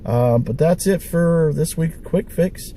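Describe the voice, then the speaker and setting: Raspy-voiced man with a cold. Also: fairly high pitch, slow, dark tone, a little hiss or hum. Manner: wry, rambling, full of fillers. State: hoarse from a cold. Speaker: thrift-hunting vlogger. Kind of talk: casual vlog chat. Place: outdoors by stores, street traffic.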